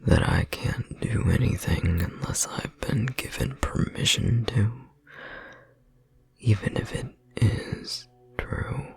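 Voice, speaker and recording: A man playing an ancient imprisoned godling, resigned and quietly menacing, in a breathy, creaky whisper for a horror audio roleplay. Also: medium pitch. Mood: quiet menace. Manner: slow, measured, quietly menacing. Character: ancient imprisoned male godling, resigned, eerily calm, wounded.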